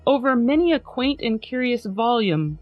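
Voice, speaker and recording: A woman's voice: fairly high pitch, expressive, moderate pace, dry recording, lo-fi sound, soft background music. A woman hosting a podcast in an upbeat, chatty way, laughing easily and also reading a poem aloud with drama. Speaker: podcast host.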